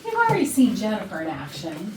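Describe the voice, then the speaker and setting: A woman's voice: medium pitch, huge pitch swings, fast talker. A woman playing a gossipy, catty, flirtatious office worker who confides suggestively to the audience in a comedic stage play. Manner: chatty, suggestive, conspiratorial. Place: indoor rehearsal room. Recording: roomy rehearsal, distant mic, noisy background.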